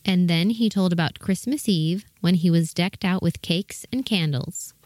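A woman's voice: fairly low pitch, moderate pace, a little mellow, dry recording. A woman giving scripted narration of a children's storybook tale.

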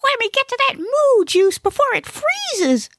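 Give this the sound of a very high-pitched, wildly swooping furry male creature played by a woman. He is dim, food-obsessed and blissfully oblivious, mangling his words like a child. The recording is dry, for a comic storybook. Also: sing-song, unhurried, fairly bright tone, no room sound, full character voice. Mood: cheerfully oblivious. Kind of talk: comic audiobook character acting.

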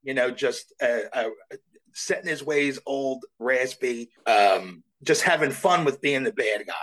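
A man's voice: medium pitch, a little slow, a bit bright, low-quality recording. A man from South Jersey, a voice actor guest, chatting humbly and rambling with restarts in an interview. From South Jersey, USA. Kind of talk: casual interview chat.

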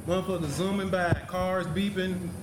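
A man's voice: high-pitched, moderate pace. American guy telling a wild story, cusses a lot, repeats himself, echoey room with noise. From United States. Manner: profane, animated storyteller, repeats phrases. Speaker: podcast co-host telling a story. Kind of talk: casual storytelling chat.

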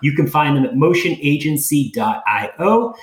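A man's voice: a little high, animated, normal speed. A man hosting a podcast interview in an upbeat way, stumbling over restarts. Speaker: podcast host. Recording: echoey room.

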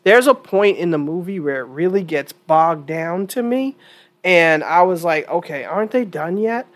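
A woman's voice: fairly low pitch, expressive, moderate pace. A woman hosting her own opinion podcast in a casual, chatty monologue, sounding stuffy from a cold and repeating points for emphasis. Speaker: podcast host.

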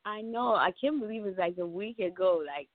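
A woman's voice: medium pitch, expressive, moderate pace, dry recording. A young woman with a Ghanaian accent chatting casually as an interview guest. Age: young adult. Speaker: podcast guest, aspiring actor and model. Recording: muffled phone-line sound.

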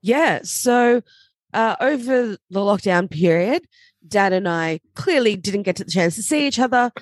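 A young woman with a slightly raspy voice, chatting casually as a podcast co-host. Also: medium pitch, lively intonation, no room sound. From Australia. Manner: chatty, quick to laugh. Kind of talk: casual chat.

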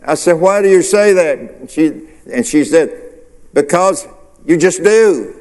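A male pastor preaches slowly, with a slightly high voice. He asks the congregation questions and answers them himself. His voice rings through a reverberant church hall. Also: lively intonation, a little mellow. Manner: preacherly call-and-response, rhetorical questions. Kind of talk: sermon.

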